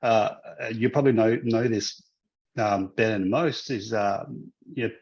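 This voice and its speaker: A man's voice: lowish voice, normal speed, darkish tone. Hesitant man, a little muffled. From Hong Kong, raised in Australia. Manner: hesitant, many restarts and fillers. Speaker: guest. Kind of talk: casual interview conversation.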